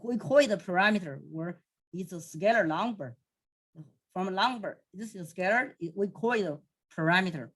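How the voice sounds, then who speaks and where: A woman from southwest China with a Chinese accent, teaching math in a recorded lecture and often pausing to correct herself. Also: medium pitch, animated. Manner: slow, halting, thinking aloud, self-correcting. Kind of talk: recorded lecture over a digital whiteboard.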